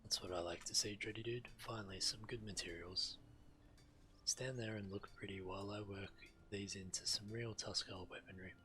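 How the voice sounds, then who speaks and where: A man's voice: on the low side, steady tone, normal speed, pushed and bright, a little hiss or hum. A man reading video game quest text aloud as a streamer, acting out the quest givers' lines as he narrates.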